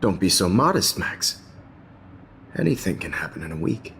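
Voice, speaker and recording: A middle-aged American man acting a suave, encouraging photography teacher in a video game, smooth-talking with some hesitation. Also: low-pitched, very animated, normal speed, a bit bright, a little hiss or hum, full character voice. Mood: pleased, encouraging.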